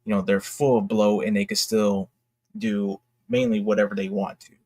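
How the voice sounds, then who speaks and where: A young man talking casually and candidly to his fans in a halting, reflective, earnest way, as an independent creator. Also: fairly low pitch, little pitch movement, darkish tone, no room sound. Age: young adult. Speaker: indie comic creator and vlogger.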